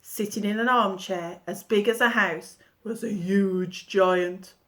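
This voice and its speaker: A woman teacher reading a children's storybook aloud in an expressive storytelling manner. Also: medium pitch, slow.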